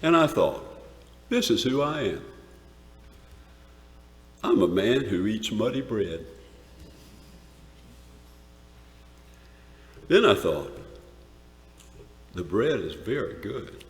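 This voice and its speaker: A man performing a dramatic monologue as a rough, awestruck shepherd recalling a wondrous night, with emphatic pauses. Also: fairly low pitch, big pitch swings. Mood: savoring wonder. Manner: emphatic, dramatic pauses, swells from hushed to shouted. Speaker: dramatic reader. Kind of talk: theatrical dramatic monologue reading. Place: large church, live performance. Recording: echoey church hall, long reverb.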